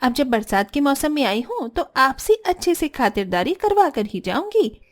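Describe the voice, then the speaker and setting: A woman's voice: high voice, big pitch swings, moderate pace. A woman voicing an arrogant, bossy young married sister-in-law in a cartoon family drama, haughty and demanding. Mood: boastful, demanding.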